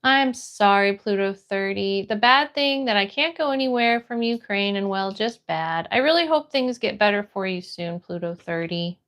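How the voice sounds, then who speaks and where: A woman's voice: medium pitch, animated, normal speed, few pauses, a bit bright. An American woman hosting a livestream in a casual, friendly and encouraging way, chatting with viewers and reading comments aloud. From United States. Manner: friendly, encouraging, greets people by name. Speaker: livestream host.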